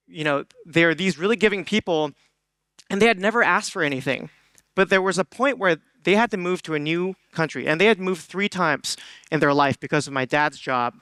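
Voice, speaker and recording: A man's voice: a little high, pushed and bright, clean tone, dry recording. A young man from Hong Kong giving an earnest motivational talk on stage, often asking the audience questions, with ums and restarts. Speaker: conference speaker.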